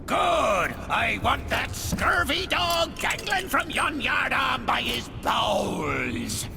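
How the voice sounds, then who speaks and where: A man playing a bloodthirsty, bullying pirate captain in a video game, shouting in gravelly pirate slang. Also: extremely high pitch, big pitch swings, slow pace, music in the background, heavily acted. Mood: furious. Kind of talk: cartoonish video game acting.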